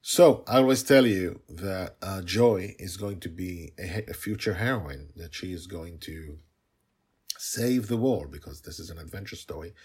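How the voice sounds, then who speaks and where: A male storyteller with a low-pitched, husky voice. He speaks informally to listeners as the host of a story show. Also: animated, normal speed, darkish tone.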